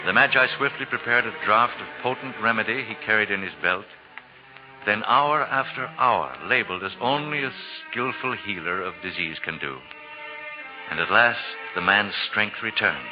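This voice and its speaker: Vintage radio drama narration, male actor as solemn storyteller, measured literary delivery, muffled sound, prominent music. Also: on the low side, natural voice. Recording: muffled 1940s radio recording.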